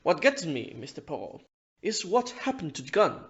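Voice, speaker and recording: Audio-play captain, male actor: blustery, puzzled, brisk, animated pitch, muffled recording. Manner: exclamatory, brisk, baffled.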